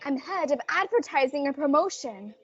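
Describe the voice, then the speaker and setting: A woman's voice: sits high, animated, normal speed, a bit bright. A woman playing an earnest, frazzled department store advertising executive in an old-time radio drama. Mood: worried, wry. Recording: dry, dull lo-fi audio.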